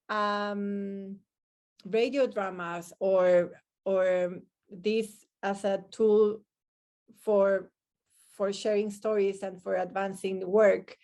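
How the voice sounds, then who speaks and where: A woman's voice: mid-range voice, a little mellow. Woman moderating an online panel. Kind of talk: moderating a live question-and-answer session, partly reading out comments. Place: online video call. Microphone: video call microphone.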